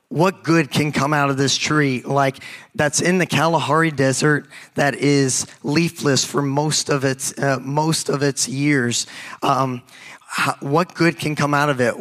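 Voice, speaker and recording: A young man, a youth pastor, preaching a sermon in a raspy, hoarse voice from a recent cold, casual and halting with many restarts. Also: mid-range voice, a bit bright.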